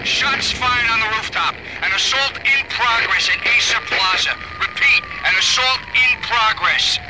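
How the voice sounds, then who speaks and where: An actor playing an urgent police officer in a video game, giving clipped radio calls for backup. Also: male voice, very high-pitched, unhurried, loud music behind the voice. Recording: very noisy police radio, helicopter din.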